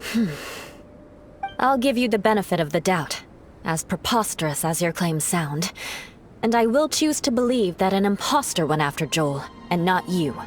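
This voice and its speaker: A woman's voice: fairly low pitch, animated, moderate pace, faint music behind, close to an everyday voice. A woman playing a proud, stern young noblewoman knight in a video game, with haughty, cutting formal speech. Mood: grudging, resolute.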